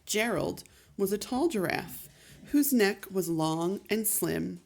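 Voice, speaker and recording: A woman hosting a children's read-aloud channel, reading a rhyming picture book in an expressive, measured way. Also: medium pitch, creaky. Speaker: storyteller host.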